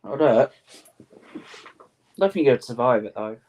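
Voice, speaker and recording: A man's voice: medium pitch, animated, moderate pace, lo-fi sound. A man chatting casually on a live stream in an easygoing, agreeable, offhand way. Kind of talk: casual chat.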